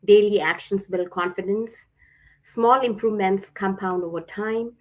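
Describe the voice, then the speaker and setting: A woman's voice: mid-range voice, moderate pace, poor audio. A woman from India giving a casual talk, a little hesitant with fillers. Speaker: guest speaker.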